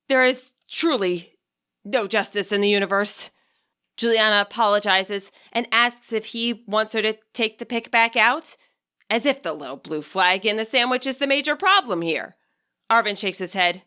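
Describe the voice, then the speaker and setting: Bright, forceful woman storyteller. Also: on the high side, clear, resonant tone. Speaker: author narrating own fan fiction audiobook. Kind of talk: scripted story narration.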